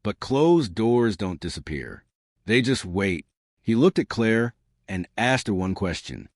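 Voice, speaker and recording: A man's voice: on the low side, sing-song, measured pace, dry recording, clean studio sound. A man narrating a scripted dramatic story as a suspenseful storyteller who speaks straight to the listener. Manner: suspenseful, addressing listeners directly.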